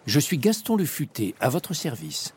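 A man's voice: medium pitch, huge pitch swings, normal speed, a little mellow, slight background noise, voice pushed up. A man voicing a clever, polite male detective who asks courteous, probing questions. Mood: inquisitive. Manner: courteous and inquisitive.

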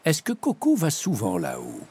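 A sharp, courteous storybook detective, voiced by a male narrator, lifting his voice brightly above his usual low tone. He questions everyone with lively curiosity. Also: normal speed, husky. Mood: inquisitive. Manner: courteous and inquisitive. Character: clever, polite male detective.

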